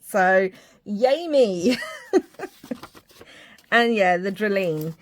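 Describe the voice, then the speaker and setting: Woman, from Ireland, casual craft vlog, chatty, self-deprecating, medium pitch. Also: expressive, extremely slow. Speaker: crafting vlogger. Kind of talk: casual craft tutorial chat.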